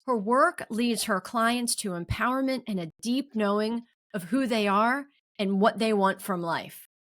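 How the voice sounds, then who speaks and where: A woman's voice: a little high, measured pace, fairly bright tone. A woman hosting a podcast, reading a guest introduction and asking interview questions. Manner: reads a polished introduction, asks questions. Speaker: podcast host.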